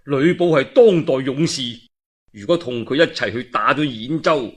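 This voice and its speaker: A man's voice: mid-range voice, expressive, moderate pace, lightly acted. A man with a Cantonese accent voicing a grave, scheming male counsellor, weighty and persuasive. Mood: grave, goading.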